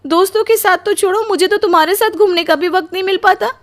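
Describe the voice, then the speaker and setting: A woman acts out a young newlywed wife in a cartoon melodrama. The voice is extremely high and plaintive, full of weary complaint at being put upon. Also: fast talker. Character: exhausted, put-upon young newlywed bride, aggrieved and tearful. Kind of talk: melodramatic cartoon story acting.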